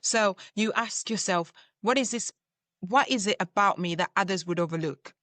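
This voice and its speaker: A woman's voice: mid-range voice, moderate pace, fairly bright tone, dry recording. A woman from Zambia, a leadership coach, answering interview questions in a reflective way with everyday examples.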